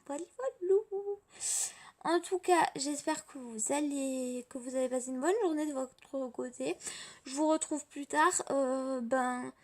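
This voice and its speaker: Hoarse, tired young woman vlogging. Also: high voice, a little slow, a little mellow. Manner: rambling, many fillers and restarts. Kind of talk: casual daily vlog chat. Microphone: tablet camera.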